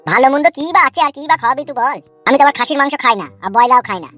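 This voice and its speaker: A man's voice: very high-pitched, racing, over music. A man voicing a grumpy, blunt village husband who bickers with his wife in a cartoon comedy.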